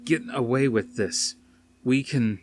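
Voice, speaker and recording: A man's voice: mid-range voice, slow pace, slight background noise, voice pushed up, lightly acted. A man voicing an earnest, determined, wounded action hero in an audiobook, speaking firmly and insistently. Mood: strained, determined. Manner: firm, insistent.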